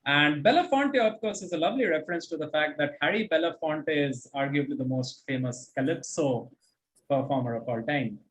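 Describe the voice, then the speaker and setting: A man's voice: a little high, brisk, a bit bright, poor audio. A man hosting a quiz, reading out questions and commenting on answers in an articulate, encouraging, explanatory way. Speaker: quizmaster and host.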